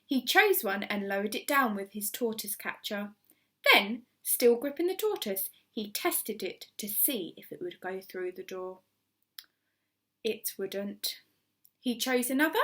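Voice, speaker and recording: Expressive woman reading a children's story. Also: mid-range voice, unhurried. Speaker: primary school teacher reading aloud.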